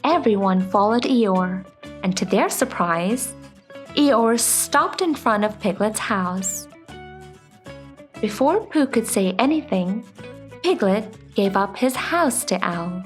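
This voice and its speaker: A woman's voice: mid-range voice, expressive, slow pace. A woman narrating a scripted children's bedtime story as the storyteller. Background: soft music.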